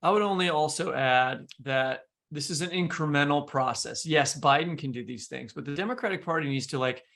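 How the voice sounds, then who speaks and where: Bright, creaky, high-pitched man co-hosting. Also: a little fast, hardly any pauses. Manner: emphatic, blunt, swears. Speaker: podcast co-host. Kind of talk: casual political discussion.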